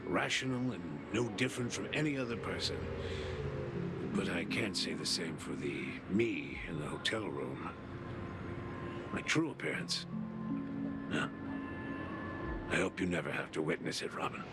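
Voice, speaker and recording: Under loud music, a gentle old man from a video game. His voice is fairly low, measured and wistful, with a weary, resigned temper. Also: lively intonation. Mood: solemn, grateful.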